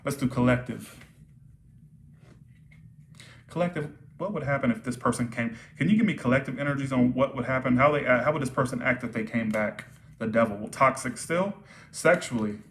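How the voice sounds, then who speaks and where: A man's voice: medium pitch, brisk, a bit bright, slight background noise. Repetitive man giving a live tarot reading. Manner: repetitive, checks in with frequent "all right?". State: starting to lose his voice. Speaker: tarot reader.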